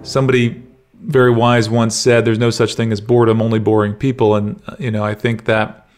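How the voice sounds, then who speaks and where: A man's voice: medium pitch, darkish tone. A man speaking casually and reflectively as a film director in an interview.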